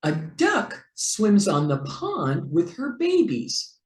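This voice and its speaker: A woman reading a picture book aloud to young children in an expressive, deliberate, playful way, as a teacher would. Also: medium pitch, reverberant. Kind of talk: slow animated read-aloud for young children.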